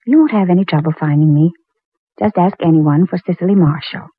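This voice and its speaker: An actress playing a polite, firm but coy young librarian in a composed, gently teasing 1940s radio drama style. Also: female voice, lowish voice, brisk, slightly rough. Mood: coy, amused. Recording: tinny, narrow-band 1940s radio recording.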